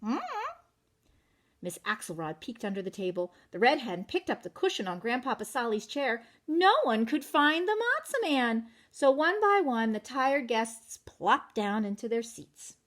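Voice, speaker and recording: A woman reading a children's picture book aloud in an animated way, stopping to ask listeners questions. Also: on the high side, moderate pace, hardly any pauses. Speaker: children's storytelling teacher.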